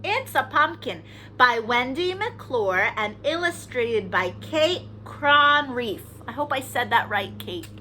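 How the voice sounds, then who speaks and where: A woman hosting story time for young children, animated and sing-song, talking to them with questions and encouragement. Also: high-pitched, takes it slowly, slight background noise. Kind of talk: children's storytime read-aloud with singing and asides.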